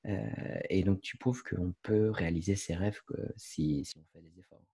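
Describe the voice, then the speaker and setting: A man's voice: deep voice, normal speed, warm tone, husky. A man interviewing a guest, asking long, hesitant, rambling questions. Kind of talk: conversational interview.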